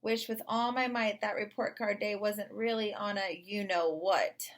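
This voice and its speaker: A woman narrating a children's story in an expressive read-aloud style. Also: medium pitch, measured pace. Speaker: storybook reader.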